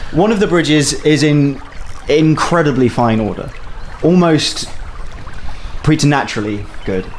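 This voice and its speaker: A man running a tabletop game as game master, narrating in a measured, wry way. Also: fairly high pitch, a little hiss or hum.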